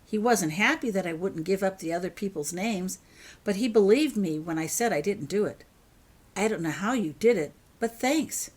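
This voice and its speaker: A woman's voice: medium pitch, lively intonation, moderate pace, darkish tone, husky. Woman as a guarded, reluctant schoolboy. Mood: subdued, relieved. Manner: reluctant, terse. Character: reluctant, guarded schoolboy, stubbornly loyal older brother.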